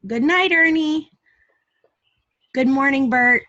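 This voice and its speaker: Silly mischievous puppet boy for a storybook, read by a woman, high and teasing, lo-fi sound. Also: takes it slowly, sharp tone, full character voice. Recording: dull lo-fi home recording.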